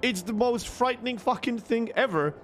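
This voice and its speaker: A man's voice: very high voice, moderate pace, a bit bright, faint music behind. A young man with a Croatian accent, a streamer casually telling a story in an emphatic, sweary way, repeating words for emphasis, close to a desktop microphone. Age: young adult. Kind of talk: casual storytelling to a livestream chat. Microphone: close desktop microphone with headset.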